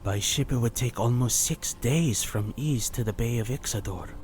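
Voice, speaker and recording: A man's voice: medium pitch, moderate pace, a little mellow, slight background noise, close to an everyday voice. A man playing a reserved, matter-of-fact traveler in a fantasy audio drama. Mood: businesslike.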